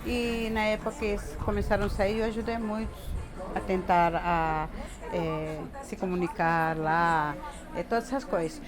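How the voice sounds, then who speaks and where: An older woman from Bolivia with a Bolivian accent, talking haltingly in an interview, often repeating words and adding tag questions. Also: mid-range voice, fairly even intonation, a crowd in the background. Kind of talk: casual interview talk.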